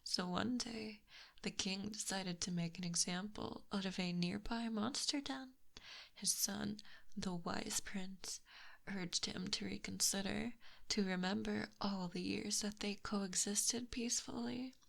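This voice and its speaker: A woman playing a possessive, teasing lamia monster girl in a soft, breathy ASMR roleplay, close on a binaural microphone. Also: medium pitch. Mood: hushed storytelling. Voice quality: breathy, whispery. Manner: soft, teasing, slow and deliberate.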